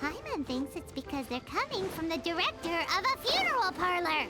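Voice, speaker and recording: A woman voicing a small, childlike, excitable fairy companion in a video game, squeaky and blunt. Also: moderate pace. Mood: matter-of-fact. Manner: speaks of self in third person, blunt. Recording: loud music behind voice.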